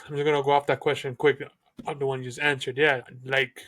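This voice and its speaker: A man's voice: mid-range voice, level tone, fast talker, a bit bright. A man hosting an interview who stutters, talking casually with many fillers. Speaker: host. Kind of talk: conversational interview.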